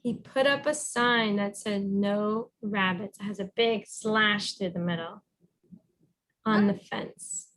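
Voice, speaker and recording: A woman reading a storybook aloud as a teacher, encouraging and pausing to guide with questions. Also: medium pitch, fairly even intonation, a bit bright. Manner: encouraging, instructive.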